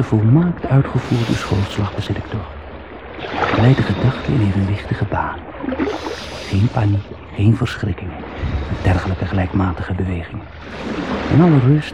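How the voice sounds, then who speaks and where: Low-voiced musing swimmer played by a man, dull recording. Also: lightly acted. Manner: musing, wry, talking to himself. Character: brooding, self-soothing husband swimming out to sea. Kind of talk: radio drama monologue. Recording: dull noisy old radio recording, water sounds.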